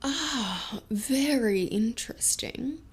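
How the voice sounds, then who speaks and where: A woman playing a cool, knowing mechanic and inventor in an audio drama, measured and breathy. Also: on the high side, lively intonation, heavily acted. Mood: intrigued, knowing. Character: female car mechanic and inventor, cool, unfazed, proud.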